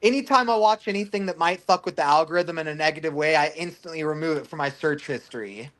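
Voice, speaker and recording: A man's voice: fairly high pitch, fast, a bit bright. A man at home on a group call, talking in a laid-back, profane way and laughing often. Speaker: call participant. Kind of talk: casual group chat.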